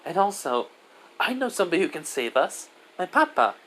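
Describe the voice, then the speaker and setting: A man's voice: high-pitched, very animated, a little fast, a bit bright, pitched above the performer's own voice. A man voicing a cheerful, protective little girl spirit in an amateur story, with a childlike, bubbly, pleading manner. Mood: reassuring. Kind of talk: amateur character voice in improvised storytelling. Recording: noisy amateur recording.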